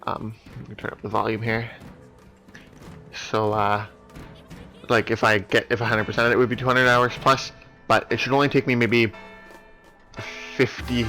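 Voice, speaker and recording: A man's voice: mid-range voice, pushed and bright. A man giving casual, rambling let's play commentary in a hesitant, apologetic manner. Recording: game music behind the voice. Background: video game cutscene music.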